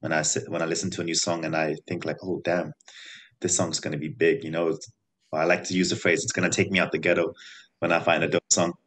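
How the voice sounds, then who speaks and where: A man from the United Kingdom speaking as a guest DJ, easygoing and relaxed. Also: extremely low pitch, fast talker.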